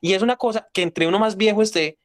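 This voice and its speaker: A man's voice: high-pitched, quick pace. A young Colombian man with a Paisa accent, speaking as an interview guest in an emphatic, blunt, lecturing way. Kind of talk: casual interview talk.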